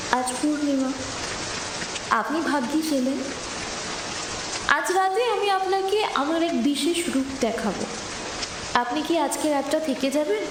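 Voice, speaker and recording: A woman's voice: high-pitched, animated, unhurried, pauses often. A woman playing an alluring, mysterious supernatural seductress in an audio horror story, speaking in a sweet, coaxing way. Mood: seductive, mysterious. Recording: very echoey, rain noise behind voice.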